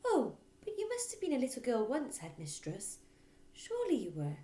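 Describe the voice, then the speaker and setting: Woman acting a gentle storybook teacher, earnest, politely pleading, lilting pitch, echoey room. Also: huge pitch swings, moderate pace. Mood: earnest protest. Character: gentle, earnest young woman teacher, nervous but determined. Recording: roomy echo.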